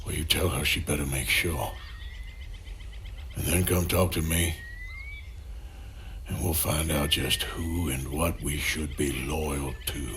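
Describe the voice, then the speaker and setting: A man's voice: very deep voice, fairly even intonation, slow, long pauses, a bit bright, a little hiss or hum, exaggerated voice. A man playing a weary, disillusioned outlaw gunman in a video game, with an American Western drawl and a heavy, world-weary delivery. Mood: resolute, somber. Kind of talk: naturalistic dramatic game acting.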